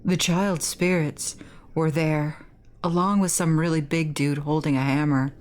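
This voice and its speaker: A woman's voice: low voice, fairly even intonation, a little slow, a little mellow, voice pushed down. A woman voicing a tough teenage schoolboy in a casual, matter-of-fact way, reading game dialogue aloud. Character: tough, blunt teenage high school boy.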